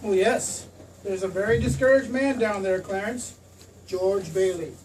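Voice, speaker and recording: Casting: woman as calm, commanding head angel, mid-pitched, off-mic radio-play sound with faint noise. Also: a little slow, far from the mic, lightly acted, low-quality recording. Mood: calm, grave. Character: calm, authoritative superintendent of angels. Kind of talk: 1940s radio acting.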